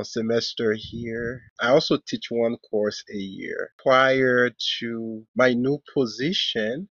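A middle-aged man from Haiti with a Haitian accent, a professor answering interview questions in a measured way, often restarting phrases. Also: mid-range voice, fairly bright tone, dry recording. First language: Haitian Creole. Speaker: professor and author. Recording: dull, muffled audio.

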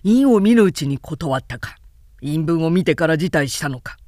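Woman voicing a commanding warlord, low and dry. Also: lively intonation, normal speed, a little mellow, no echo. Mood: pondering. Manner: authoritative, archaic speech. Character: shrewd, commanding male warlord issuing orders.

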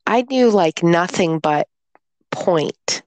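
A woman chatting casually, slowly telling a rambling story about being ill, still sounding congested. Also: fairly low pitch, expressive, a little mellow, no room sound. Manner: rambling storyteller, slow and drawn-out. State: congested, recovering from illness. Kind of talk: casual chat.

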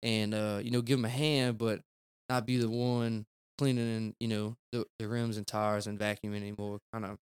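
A man's voice: lowish voice, level tone, moderate pace, clear, resonant tone. A man, a car detailer chatting casually as a podcast guest in a rambling, laid-back way. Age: 20s.

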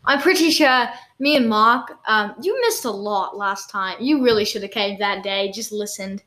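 A woman's voice: medium pitch, moderate pace, a bit bright. A woman co-hosting an improvised comedy podcast, playful and giggly as she spins absurd tall tales. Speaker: podcast co-host.

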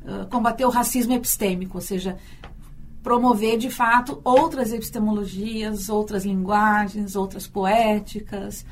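A woman's voice: medium pitch, steady tone, moderate pace, a little hiss or hum. A Brazilian woman, a university teacher and storyteller, answering in a radio interview, thoughtful and often repeating words as she thinks.

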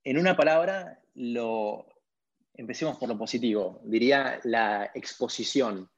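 A man's voice: mid-range voice, unhurried, slightly rough. A man with an Argentine Spanish accent answering interview questions in a conversational way as a podcast guest. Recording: dull lo-fi audio.

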